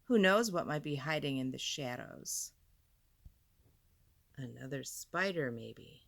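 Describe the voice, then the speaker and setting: Slow, very animated woman reading a storybook. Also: a bass voice, vocal fry. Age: adult. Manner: deliberate, dramatic emphasis, chatty questions to listeners. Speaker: storyteller, teacher reading to children. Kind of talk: expressive picture book read-aloud with asides.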